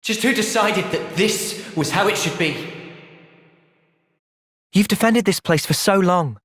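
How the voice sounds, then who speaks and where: A young man playing a thoughtful, earnest young soldier in video game cutscenes, speaking in a gentle, reflective manner. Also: sits high, measured pace, husky, no room sound, studio quality, exaggerated voice. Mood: calm, reflective.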